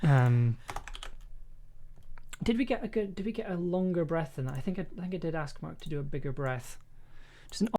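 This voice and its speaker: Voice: medium pitch, expressive, a little fast, pauses often, warm tone, slight background noise. A streamer editing audio live, talking casually and hesitantly, half to themselves. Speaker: streamer and audio drama editor.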